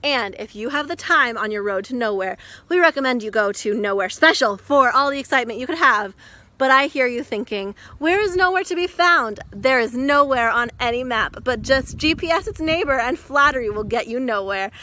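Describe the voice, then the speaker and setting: Parody commercial announcer played by a woman: perky, persuasive, salesy. Fairly high, forceful, bright delivery. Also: lively intonation, moderate pace. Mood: upbeat, salesy. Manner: salesy, upbeat. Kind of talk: parody commercial monologue, scripted.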